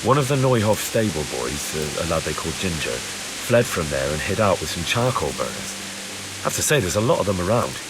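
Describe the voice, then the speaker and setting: Casting: young village-born soldier in a game drama, low-voiced, sincere, clear music underneath. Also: male voice, big pitch swings, brisk, poor audio. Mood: earnest, reporting. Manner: earnest, explaining. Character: earnest young peasant man-at-arms, respectful and plain-spoken. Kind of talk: video game cutscene acting.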